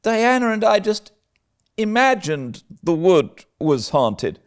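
A man's voice: high-pitched, very animated, takes it slowly, pitched above the performer's own voice, heavily acted. A man voicing an eager, imaginative young girl in a storybook, breathless, pleading and melodramatic. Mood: dramatic, fearful. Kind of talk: storybook character reading.

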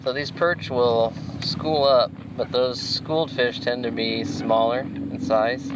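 A man in his thirties with a Pacific Northwest American accent, hosting a fishing video from a kayak and explaining techniques in a relaxed way with plenty of fillers. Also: mid-range voice, heavy background noise. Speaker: fishing show host. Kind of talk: casual instructional commentary. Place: outdoors on a kayak on a lake. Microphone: action camera mounted on the kayak.

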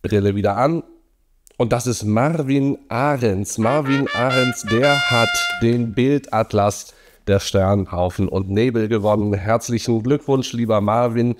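Hoarse, nasal middle-aged man with a cold. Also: mid-range voice, unhurried, over music. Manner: lively, animated presenting. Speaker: astrophotography channel host. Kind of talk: casual presenting, sky preview and prize draw. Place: home study indoors.

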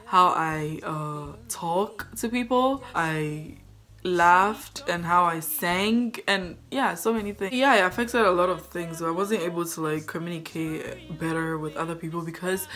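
A young woman with a deep, low voice reading a daily devotion aloud, then reflecting on it earnestly in a casual way, filming herself up close in her bedroom. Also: measured pace, a bit bright. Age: young adult. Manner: earnest, thoughtful, with fillers like "like". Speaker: devotional vlogger. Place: bedroom with a tapestry on the wall.

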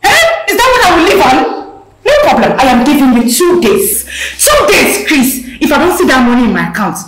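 An actress playing a demanding young girlfriend, shouting and mocking. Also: high voice, sing-song, fairly quick, heavy background noise. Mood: scornful. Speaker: actor. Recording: echoey room with loud music behind.

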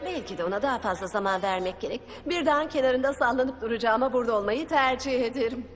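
A keen archaeologist mom, played by a woman, sounds sincere and brisk in a high voice. It is dubbed cartoon acting with clear music behind. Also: lightly acted. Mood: gentle.

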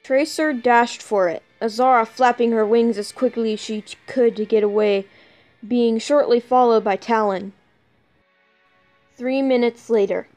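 A woman's voice: fairly high pitch, a little mellow. A woman reading scripted fan fiction as storyteller, occasionally stumbling over the written words.

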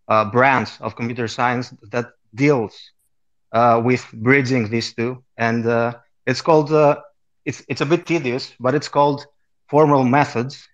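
A man's voice: medium pitch, a bit bright, highs cut off. A man from Greece with a Greek accent asking a question on a live audio call, hesitant and apologetic with many uhs. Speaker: caller.

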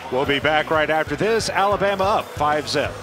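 A man's voice: a little high, expressive, normal speed, over music. A man doing live baseball play-by-play and interviewing a guest from the broadcast booth. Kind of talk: live sports commentary mixed with interview. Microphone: broadcast headset. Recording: clear outdoor ballpark noise behind voice.

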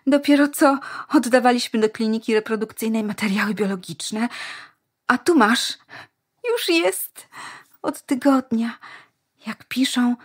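A woman playing an eager, wistful young wife in a dystopian radio play, earnest and dreamy, with a slightly husky voice. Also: fairly high pitch.

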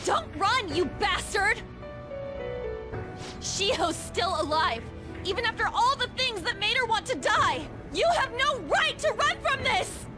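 A fiery high school girl in a game drama. Her voice is high and very animated, loyal and direct, with music behind it. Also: measured pace, heavily acted. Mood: furious. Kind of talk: English video game dub.

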